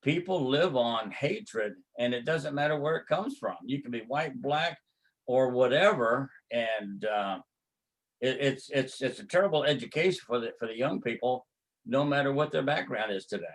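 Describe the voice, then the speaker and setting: An older man with a Texas accent, a martial arts grandmaster recalling his past in an interview, telling it slowly with many fillers and pauses. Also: medium pitch, lively intonation. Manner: slow, halting storytelling with many fillers. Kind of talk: reminiscing interview.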